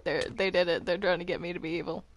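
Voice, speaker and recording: A woman's voice: lowish voice, steady tone, fast talker, slight background noise. A woman chatting casually while gaming in a roleplay server.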